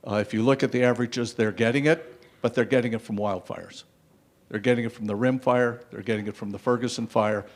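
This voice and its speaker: An older man speaking on a conference panel with a handheld mic, lecturing in a wry, measured way with frequent fillers. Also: medium pitch. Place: conference stage, seated.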